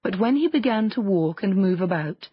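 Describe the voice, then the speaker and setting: A woman narrating a children's fairy tale audiobook in an expressive storytelling way. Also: mid-range voice, normal speed, husky, no room sound, dull audio.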